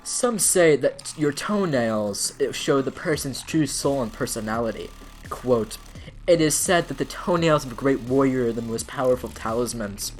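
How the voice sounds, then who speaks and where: A man's voice: medium pitch, expressive, normal speed, slight background noise, natural voice. A man playing a pretentious, proudly unashamed online art poster in a solemnly earnest comedic dramatic reading. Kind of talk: dramatic reading of an internet comment argument.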